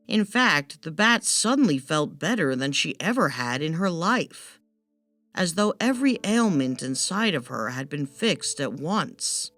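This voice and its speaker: Female storyteller, scripted fairytale narration, unhurried, animated intonation, faint music behind. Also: low voice, no room sound.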